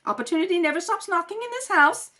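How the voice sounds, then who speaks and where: A woman playing a wry older housemaid in a radio comedy, deadpan, sardonic and full of slang. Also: extremely high pitch, fast talker, full character voice. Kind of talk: 1930s radio comedy read-through. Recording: home recording at kitchen table.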